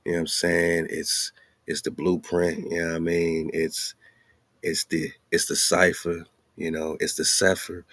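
Man, low-pitched, dry close sound, slangy casual host, laid-back music promo talk. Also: completely flat delivery, unhurried, fairly bright tone, no room sound. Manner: slangy, laid-back, repeats catchphrases like "man" and "you know". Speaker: radio show host.

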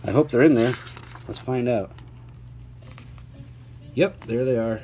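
A man reads a children's picture book aloud, clearly and deliberately, adding chatty asides and playful questions for young listeners. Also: medium pitch, mellow, slight background noise.

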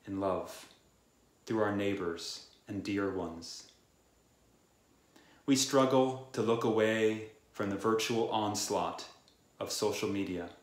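A man in his thirties reads a scripted poetic prayer in a measured, reverent way, phrase by phrase, recorded indoors at home. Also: fairly low pitch. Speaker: worship prayer leader. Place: small room at home. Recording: roomy indoor echo.

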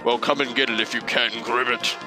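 A man voicing a taunting frog-like boss from a video game, ending lines with a croak. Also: medium pitch, steady tone, fairly quick, music in the background, natural voice. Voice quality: croaking ribbit tag. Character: slimy female frog-like boss taunting knight.

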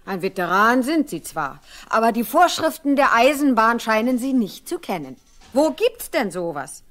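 A young female railway trainee in a radio play. Her voice is fairly high and swings expressively as she protests, dutiful and exasperated. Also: sing-song, normal speed. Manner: exasperated, rule-bound, protesting.

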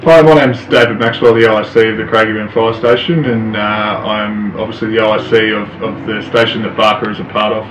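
A middle-aged man, officer in charge of a fire station, giving a formal, steady testimonial to camera in a small office. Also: lowish voice, fairly quick, hardly any pauses, music in the background. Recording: roomy, distant, dull audio.

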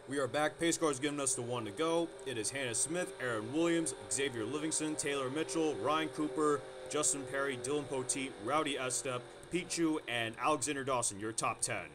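A man giving play-by-play commentary on a simulated stock car race, getting excited at close moments and restarting sentences with fillers. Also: medium pitch, fairly quick, hardly any pauses, noisy background. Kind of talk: amateur sim racing play-by-play commentary.

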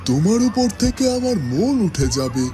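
A man's voice: sits high, very animated, moderate pace, mellow, faint music behind. A man voicing a weary, evasive king who placates his queen in a fairy-tale cartoon. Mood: placating, weary.

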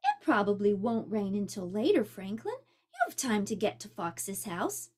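A woman voicing a calm, caring mother fox who speaks gently and reassuringly in a storybook. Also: medium pitch, moderate pace, voice pushed down.

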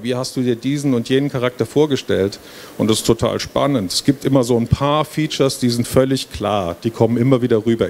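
A middle-aged man speaks at a medium pitch, presenting to an audience in an explanatory, informal way. He uses a handheld microphone on stage, with clear background noise from a busy fair hall. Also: darkish tone. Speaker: game developer presenting. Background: trade fair hall ambience.

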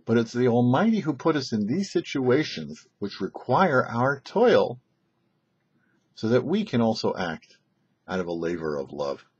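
An older man, a rabbi hosting a short religious teaching, speaking in deliberate phrases with emphatic stresses. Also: mid-range voice, very animated, darkish tone, husky, lo-fi sound. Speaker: rabbi, show host. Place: small indoor set, seated before backdrop.

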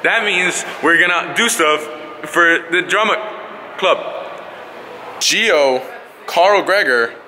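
A man's voice: high-pitched, slow, pauses often, sharp tone. Young male vlogger, casual and cheeky, swears freely, handheld camera with noisy surroundings. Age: young adult. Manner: playful, slangy, swearing. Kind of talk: casual vlog chat. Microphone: handheld camera at arm's length. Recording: noisy handheld recording, music behind.

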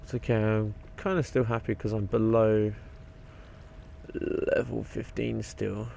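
A young man with a croaky voice, rambling and swearing casually while narrating his gameplay. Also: on the low side, little pitch movement, a little slow, a little mellow, a little hiss or hum. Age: young adult. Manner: rambling, swears often, mutters to himself. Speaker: game video maker.

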